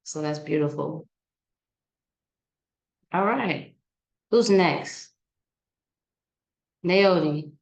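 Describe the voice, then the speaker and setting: A woman moderates an artist panel at an unhurried pace, with a somewhat low voice. She is relaxed and laughs easily between speakers. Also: gravelly, roomy sound.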